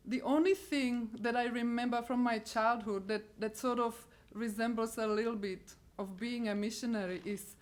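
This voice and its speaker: Czech-accented woman giving a halting testimony. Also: a little high, steady tone. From Czech Republic. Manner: halting, searching for words. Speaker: missionary speaker. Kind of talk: personal testimony talk.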